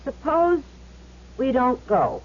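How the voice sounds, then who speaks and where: An actress playing a fussy, money-minded wife and sham medium in a radio drama, chatty and easily flustered. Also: female voice, sits high, big pitch swings, slow, exaggerated voice. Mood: calculating. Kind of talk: 1970s radio drama acting. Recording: muffled old radio recording.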